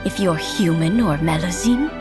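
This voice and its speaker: A woman's voice: lowish voice, normal speed, natural voice. A woman voicing a kindly, wistful old lady in a video game, tender and knowing. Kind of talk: video game character acting. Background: music.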